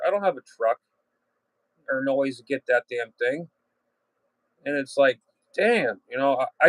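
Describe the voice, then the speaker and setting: A man's voice: fairly high pitch, fairly bright tone, no room sound, low-quality recording. A man chatting casually as a radio hobbyist, plain-spoken and salty, often trailing off and circling back. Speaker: amateur radio hobbyist and channel host. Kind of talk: casual rambling chat.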